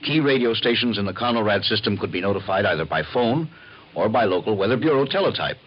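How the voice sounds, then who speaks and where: An American man playing an authoritative explainer, formal and measured, in mid-century radio documentary style. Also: lowish voice, lively intonation, slight background noise, natural voice. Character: authoritative male explainer of civil defense procedures. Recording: muffled old radio recording, loud music.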